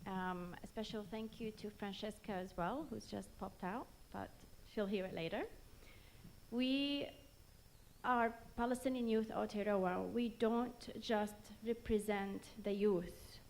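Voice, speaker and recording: A woman's voice: mid-range voice, fairly even intonation, measured pace, darkish tone. A woman from Jerusalem giving an earnest, rousing speech at a rally in short phrases. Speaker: rally speaker.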